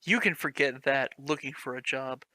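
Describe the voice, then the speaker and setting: A man's voice: mid-range voice, normal speed, sharp tone. A man reading a clumsy fan fiction aloud for laughs, mocking it, giggling and swearing freely between lines. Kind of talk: comedic dramatic reading with commentary.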